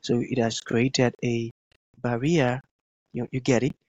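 Halting, slow-asking interview host. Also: male voice, mid-range voice, flat delivery, darkish tone, dry recording, dull audio. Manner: slow, halting questions with frequent "mm" and "amazing". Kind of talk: casual interview conversation.

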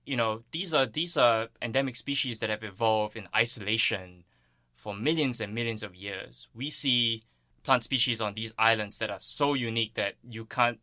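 Guy from singapore being interviewed as a scientist, bright forward voice, earnest, really dry sound. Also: mid-range voice, normal speed, no room sound. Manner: earnest, thoughtful explaining. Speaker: interviewed plant ecologist. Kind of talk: explanatory interview answers.

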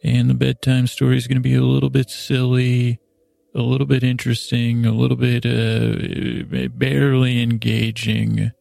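Male bedtime podcast host: mellow, very dry sound, lulling, hesitant rambler who wanders off and circles back. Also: medium pitch, monotone, normal speed, dry recording. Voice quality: creaky, gravelly. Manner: hesitant, meandering, repeats and trails off; lulling, soothing delivery.

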